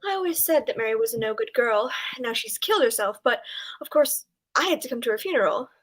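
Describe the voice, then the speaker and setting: Village gossip for radio drama female actor: high voice, prim, judgmental, sanctimonious. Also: lively intonation, fast, a bit bright, poor audio. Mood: disapproving. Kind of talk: old-time radio drama acting.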